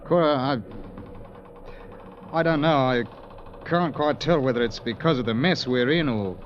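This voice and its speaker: An actor playing a reserved, decent rancher stranded at sea in 1950s radio drama style, with a halting, understated delivery. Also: male voice, mid-range voice, lightly acted. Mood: awkward, guarded. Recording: dull, noisy vintage radio recording.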